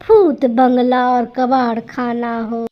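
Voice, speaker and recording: A woman's voice: on the high side, steady tone, slow pace, highs cut off. A woman reading scripted narration for a children's storybook cartoon.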